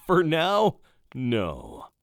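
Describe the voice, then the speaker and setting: Man as slow, lilting, urbane sly god. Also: a little high, dry recording, studio quality, heavily acted. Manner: measured, formal, theatrical. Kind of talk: fantasy audio drama acting.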